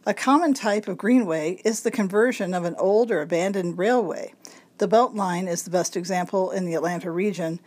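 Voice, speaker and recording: Raspy stuffed-up lady reading a magazine out loud, slow and careful with every word. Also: lowish voice. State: congested, throat-clearing. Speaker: volunteer reader of a local magazine. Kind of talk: reading a magazine article aloud.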